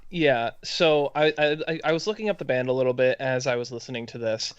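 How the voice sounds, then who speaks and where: A man co-hosting a casual review podcast, building his points haltingly and rephrasing as he goes. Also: mid-range voice, steady tone, clean tone, no room sound. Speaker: podcast co-host reviewing music. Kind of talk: casual chat, critique. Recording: dry, slightly muffled lo-fi audio.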